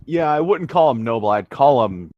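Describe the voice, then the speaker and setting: Animated man, exasperated and joking. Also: medium pitch, normal speed, dry recording, lo-fi sound. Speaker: co-host of a comedic fan fiction reading show. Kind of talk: casual read-aloud with joking commentary.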